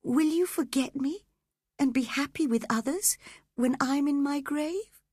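A woman voicing a dying young woman, passionate and tearful, in a breathy, often whispered, pleading way. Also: high voice, a little slow, darkish tone, no room sound, clean studio sound, voice pushed up. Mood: tender pleading. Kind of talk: audiobook character reading.

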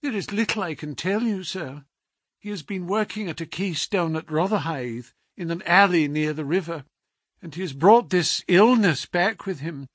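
A man's voice: sits high, normal speed, fairly bright tone, dry recording, pitched above the performer's own voice. A man voicing an anxious, pleading elderly landlady who speaks urgently.

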